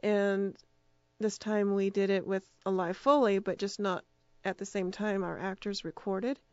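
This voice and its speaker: A woman's voice: medium pitch, little pitch movement, measured pace, no echo, dull audio. A woman speaking as a radio drama producer and director, giving casual answers in an interview.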